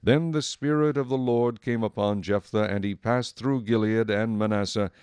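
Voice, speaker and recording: A man delivers scripted Bible narration as the storyteller of an audio drama. His voice is on the low side and his phrasing is formal and measured. The recording is very dry and close. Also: steady tone, a little mellow, clean tone, dry recording.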